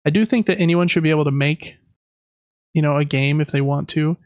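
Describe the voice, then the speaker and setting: A man's voice: on the high side, a little fast, clear, resonant tone, no room sound. A man co-hosting a podcast, chatting casually about video games on a close microphone with a slightly dull sound. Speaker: podcast co-host. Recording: dry, slightly dull lo-fi mic.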